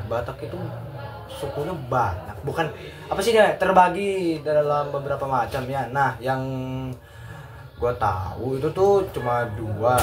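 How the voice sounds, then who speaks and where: Teenage boy, from North Sumatra, halting slangy chat, mid pitch, echoey room. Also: distant mic. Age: teens. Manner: hesitant, searching for words, slangy. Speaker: student. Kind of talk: casual chat. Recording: echoey room with faint noise.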